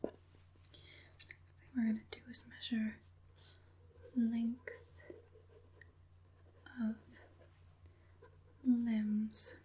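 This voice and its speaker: A woman playing an evil witch puppet master in an ASMR roleplay, whispering softly in a slow, soothing and quietly persuasive way. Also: a little high, little pitch movement, exaggerated voice. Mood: calm, methodical. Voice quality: breathy whisper.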